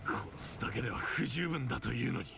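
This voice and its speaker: A man's voice: medium pitch, lively intonation, racing, gravelly, over music. An actor playing a bitter, defiant male warrior with a scornful, intense manner in an anime game. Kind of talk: anime game voice acting in Japanese.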